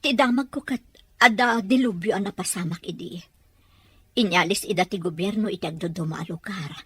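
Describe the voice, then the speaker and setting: A woman playing a tender, sorrowful mother with a husky voice and a Filipino accent in an audio drama. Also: mid-range voice, a little fast, a bit bright, no echo. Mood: regretful. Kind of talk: Ilocano audio drama acting.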